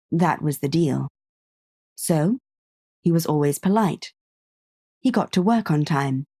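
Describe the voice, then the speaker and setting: A woman narrating a scripted story in a measured, understated way, close on the microphone. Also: lowish voice, dark tone, husky, no echo.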